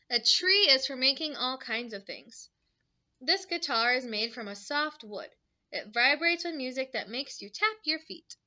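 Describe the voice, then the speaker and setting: A woman's voice: on the high side, pushed and bright, dull audio. A woman teacher reading a picture book aloud to young children, adding simple explanations and questions in an instructive way.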